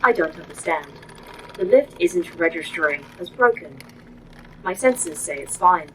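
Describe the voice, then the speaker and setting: A woman's voice: fairly low pitch, expressive, moderate pace, fairly bright tone, a little hiss or hum, rough recording. A woman voicing a proud, dry-witted, condescending artificial intelligence in a sci-fi audio drama. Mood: puzzled. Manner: precise, sardonic, condescending. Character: proud, dry-witted A.I. running a secret facility.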